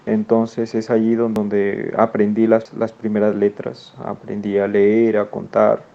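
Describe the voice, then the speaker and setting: A man in his forties from Peru with a northern Peruvian Spanish accent, recalling his schooling in a halting way, often repeating words and saying "eh". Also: on the low side, completely flat delivery, vocal fry, dull audio. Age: 40s. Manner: halting, repeats words and restarts, many "eh" fillers. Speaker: educator guest. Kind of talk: personal recollection.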